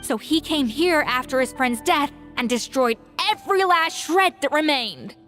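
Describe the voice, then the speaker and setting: An actress playing a sharp, determined young investigator who talks in a brisk, analytical way. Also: female voice, high-pitched, animated, faint music behind. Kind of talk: video game voice acting.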